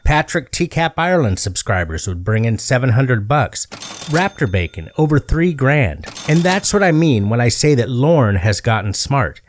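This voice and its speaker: Man narrating with very lively, swooping pitch. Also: moderate pace, a little hiss or hum. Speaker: comedy storyteller narrator. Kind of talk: scripted comedic narration.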